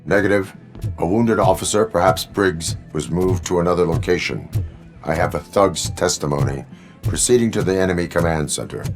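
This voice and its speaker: An actor playing a stoic cyborg police officer in a video game, deadpan and clipped with formal phrasing. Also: male voice, deep voice, normal speed, faint music behind. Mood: flat, resolute.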